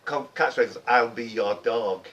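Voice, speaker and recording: A man's voice: mid-range voice, moderate pace, fairly bright tone, lo-fi sound. A man taking part in a quiz as a contestant, chatting and bantering casually. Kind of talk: casual banter among players. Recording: distant mic, faint room noise.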